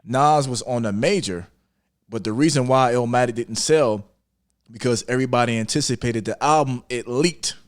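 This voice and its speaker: A man's voice: medium pitch, normal speed. A man hosting a podcast, arguing about music casually in an insistent, slangy way and often repeating his points. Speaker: podcast host. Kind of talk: casual debate about hip hop.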